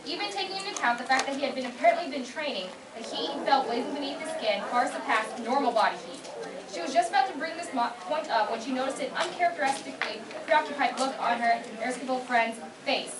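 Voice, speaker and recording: A woman's voice: sits high, fast. A young woman reading scripted fan fiction narration aloud at a convention panel. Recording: echoey noisy room, far from mic.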